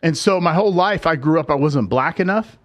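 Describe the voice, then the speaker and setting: A man's voice: on the high side, brisk. Middle-aged guy guest on a podcast, just chatting, keeps saying "right?". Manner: storytelling, frequent "right?" asides. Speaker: podcast guest, tech recruiter and dating coach. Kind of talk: casual interview chat.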